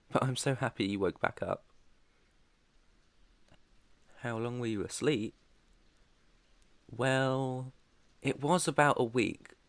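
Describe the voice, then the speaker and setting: A man's voice: medium pitch, gravelly, no room sound, close to an everyday voice. A British man playing an ancient vampire master in an ASMR roleplay, proud, protective and tender, with an earnest, slightly formal way of talking. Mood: tender concern. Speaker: roleplay voice performer.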